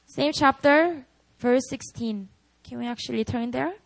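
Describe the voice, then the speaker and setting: A woman's voice: high voice, a little slow, creaky, rough recording. A young woman with a Korean accent preaching at a prayer meeting, candid and chatty, often laughing at herself.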